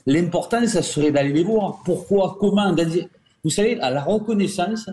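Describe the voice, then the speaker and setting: Marseille-accented male pundit, mellow tone, forceful and repetitive, roomy studio. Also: high voice, expressive, normal speed. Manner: emphatic, repeats points. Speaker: football pundit. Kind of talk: radio debate.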